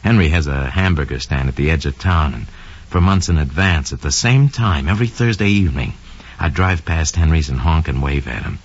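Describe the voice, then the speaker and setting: An actor playing a smug, scheming young murderer who confesses coldly and boastfully in an old radio drama monologue. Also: male voice, rumbling low, a little fast, slightly rough, full character voice. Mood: smug, calculating. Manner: sly, cold, boastful, slangy. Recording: dull lo-fi old radio recording.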